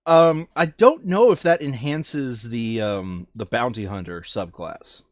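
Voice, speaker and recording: A man's voice: medium pitch, expressive, measured pace, dry recording, highs cut off. A man chatting casually while playing a game, thinking aloud and muttering hesitantly to himself. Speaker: player setting up a co-op board game campaign.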